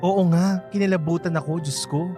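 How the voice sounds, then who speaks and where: An actor playing an excitable young Filipino man in a Taglish radio drama, campy, gossipy and dramatic. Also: sits high, normal speed, lightly acted. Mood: teasing. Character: Eugene, campy, excitable young male friend. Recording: dry studio, faint music behind.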